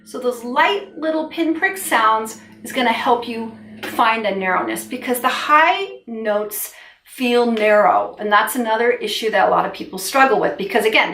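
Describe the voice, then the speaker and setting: A woman's voice: a little high, far from the mic. Middle-aged female vocal coach, encouraging teacher, a bit off-mic in an echoey room. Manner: warm encouraging teacher, gestures with hands while explaining. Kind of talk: instructional video lesson. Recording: roomy sound, a little off-mic.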